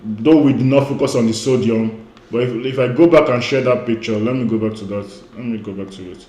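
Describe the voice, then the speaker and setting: A man's voice: medium pitch, steady tone, brisk, mellow, roomy sound. A man who grew up speaking Igbo, giving an emphatic online lecture as a weight-loss coach. He repeats his key points and often says "you know".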